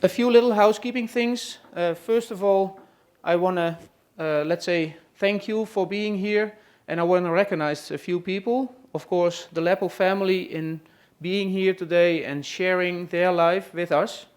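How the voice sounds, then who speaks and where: A man with a Dutch accent speaks to an audience at an expo, pitched higher than most men. He talks with hesitant pauses and frequent fillers as he introduces his guests. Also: fairly even intonation. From Netherlands. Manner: hesitant, many "uh" and "let's say" fillers. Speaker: presenter hosting a farm tour session. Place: room at a trade expo.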